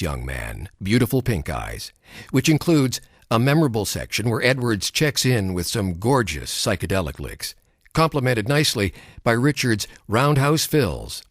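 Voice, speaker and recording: Expressive male documentary narrator, dry studio sound. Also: medium pitch, no echo. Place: recording studio. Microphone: studio microphone.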